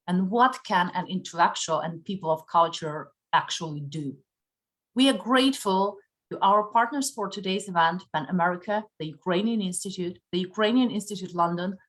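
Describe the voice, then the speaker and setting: A woman moderator reads out formal closing thanks and credits in an even, scripted way. Her voice sits a little lower than most women's.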